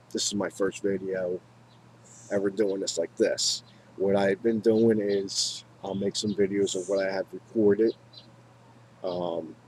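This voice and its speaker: A man with an American accent and a fairly low voice speaks off the cuff, like a hobbyist chatting to viewers. His delivery halts and rambles, circling back over his own words. Clear background noise sits behind him. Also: a little mellow. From United States. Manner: halting, rambling, repeats himself. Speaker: hobbyist video maker. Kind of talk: casual unscripted talk to viewers.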